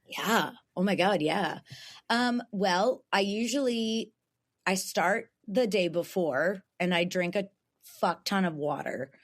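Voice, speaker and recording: Young woman, raspy voice, talkative podcast guest, frequent laughs. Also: mid-range voice, takes it slowly. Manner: chatty, laughs often, restarts. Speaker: guest voice actor. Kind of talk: casual podcast chat.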